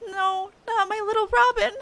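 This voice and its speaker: A woman's voice: very high voice, fairly even intonation, normal speed, fairly bright tone, voice pushed up. A woman voicing a tender, anxious mother of a small boy, speaking with strong emotion. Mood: anguished.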